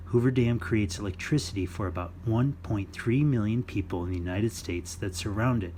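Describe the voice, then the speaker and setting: A man's voice: on the low side, a little mellow, a little hiss or hum. A man hosting a children's story time, reading a non-fiction book aloud in an instructive, playful way for young listeners.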